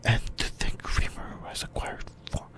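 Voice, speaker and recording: A person whispering breathily in a halting ASMR explainer about anime lore, often repeating phrases. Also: a bit bright. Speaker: YouTuber, ASMR host.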